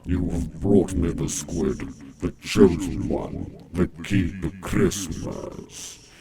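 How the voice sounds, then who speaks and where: A man voicing a huge evil monster with a slow rumbling growl. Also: fairly even intonation, a crowd in the background. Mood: menacing.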